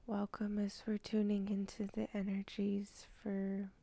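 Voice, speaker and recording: A woman leading a channeled guided meditation, speaking softly and half-whispering, with sighs and long pauses. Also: mid-range voice, monotone, vocal fry. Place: indoors at night.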